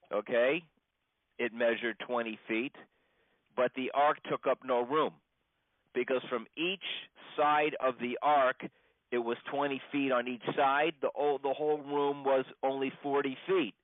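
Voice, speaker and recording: A man, a rabbi, giving an informal religious lesson in a halting, conversational way, repeating points for emphasis. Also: mid-range voice, steady tone, no room sound. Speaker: rabbi teaching a class. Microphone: phone. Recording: muffled band-limited phone conference call.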